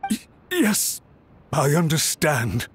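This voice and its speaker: An actor playing an anxious, humbled middle-aged official in a video game, apologetic and formally deferential. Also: male voice, fairly high pitch, huge pitch swings, takes it slowly, breathy edge, exaggerated voice. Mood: meek.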